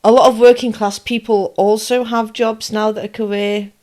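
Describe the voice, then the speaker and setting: A woman's voice: fairly high pitch, level tone, normal speed, darkish tone. A woman, explaining in casual podcast chat, often checking that her listener follows. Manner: explains with lists and comparisons, asks if it makes sense. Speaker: podcast co-host.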